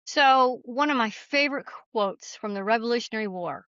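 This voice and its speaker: Female author guest, higher register, emphatic folksy storyteller, slightly muffled audio. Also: moderate pace, a bit bright, clean tone, no echo. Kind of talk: conversational storytelling.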